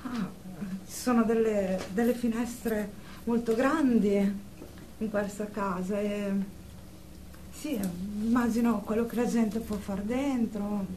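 A woman acting as an anxious woman of thirty, a patient in a radio play. She is evasive and hesitant, repeating words nervously in a medium-pitched voice. She sounds a little far from the mic, with faint noise. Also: fairly even intonation, slow, mellow, lightly acted. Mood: curious, hesitant. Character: anxious, evasive thirty-year-old female psychiatric patient. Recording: distant with faint background noise.

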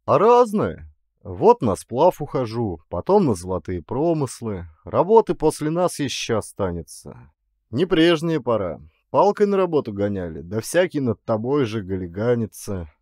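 A man voicing a weary, gloomy former bandit in an audiobook, frank and resigned, with calm, folksy peasant speech. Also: mid-range voice, moderate pace, dry recording, clean studio sound.

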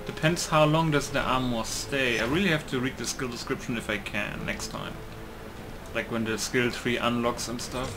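A man's voice: mid-range voice, steady tone, normal speed, music in the background. A man giving casual, rambling game commentary, thinking aloud and repeating himself. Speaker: gamer commentating on own playthrough. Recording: clearly noisy recording.

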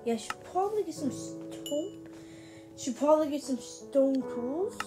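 A woman's voice: high-pitched, animated, measured pace, rough recording. A woman giving casual let's play commentary, rambling and thinking aloud to her viewers. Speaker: gaming video host. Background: background music.

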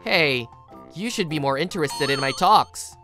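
Woman playing an earnest young man, very low-pitched. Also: lively intonation, moderate pace, a bit bright. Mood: friendly. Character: kind, responsible young office-worker uncle. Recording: music behind the voice.

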